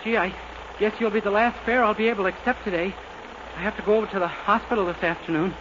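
An actor playing a naive, eager young cab driver in a 1940s radio situation comedy, earnest and rambling. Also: male voice, sits high, fairly even intonation, fast, close to an everyday voice. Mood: cheerful, flustered. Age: young adult. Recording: dull scratchy 1940s radio recording.

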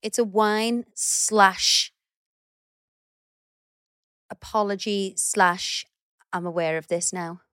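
A middle-aged woman with a Scouse accent, co-hosting a podcast in animated casual chat, swearing freely as she tells stories. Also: mid-range voice, very slow, pauses often, clear, resonant tone, dry recording, studio quality. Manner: chatty, animated storyteller who swears casually. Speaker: podcast co-host.

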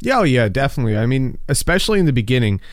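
Young Irish caster with a mixed accent. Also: male voice, mid-range voice, very animated, very fast, no echo, clean studio sound. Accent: mixed, neutral Irish-American. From Ireland. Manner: chatty, self-deprecating, frequent restarts. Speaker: esports caster. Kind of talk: casual interview answers.

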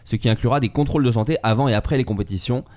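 A man's voice: medium pitch, racing. A man hosting a casual comedy podcast in French, joking and laughing often. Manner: lively, jokey, laughs often. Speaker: podcast host.

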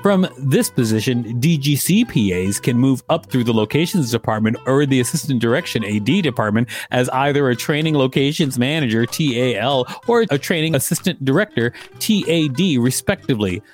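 A man's voice: medium pitch, expressive, a little fast, hardly any pauses, faint music behind. A man reading an article aloud as a host, with casual asides.

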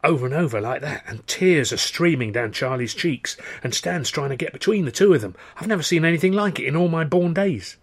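A man voicing an excitable working-class storyteller spinning a yarn, with a British accent and a colloquial, dramatic delivery. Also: a little high, brisk, hardly any pauses, husky, voice pushed up. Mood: astonished.

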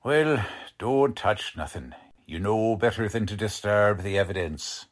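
Slow, gruff 1940s police lieutenant, played by a man. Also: lowish voice, lively intonation. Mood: brusque. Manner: slangy, ungrammatical tough-cop talk, accusing. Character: gruff, hard-boiled 1940s police lieutenant, impatient and bullying. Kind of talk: 1940s radio drama acting.

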